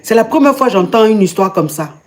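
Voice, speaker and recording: A woman's voice: mid-range voice, animated, fast talker, darkish tone, slight background noise. A woman with an Ivorian French accent hosts a live call-in advice chat, asking many questions and stammering repeats in disbelief. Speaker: host and coach.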